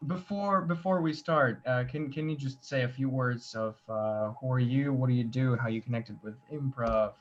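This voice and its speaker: A man's voice: medium pitch, moderate pace, few pauses. A man hosting a casual interview over a video call, informal and easygoing in his questions. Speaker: host. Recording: dull video call audio with faint noise.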